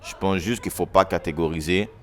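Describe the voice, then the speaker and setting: A man's voice: deep voice, steady tone, a little fast, faint music behind. A young man from Quebec with a Quebec French accent, a podcast guest explaining his view and often checking in with his listeners. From Quebec, Canada. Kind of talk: casual chat.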